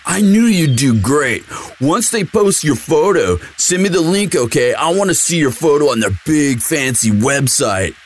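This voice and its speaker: A man's voice: fairly high pitch, animated, unhurried, few pauses, soft background music. A young man playing a sweet, dim, eager athlete boyfriend in a casual, intimate audio roleplay, with a slangy, drawling delivery. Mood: affectionate.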